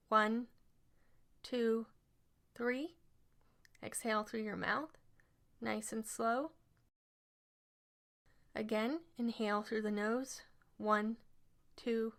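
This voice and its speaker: A woman leading a scripted guided relaxation exercise as an instructor, speaking in measured, instructional phrases. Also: a little high, fairly bright tone.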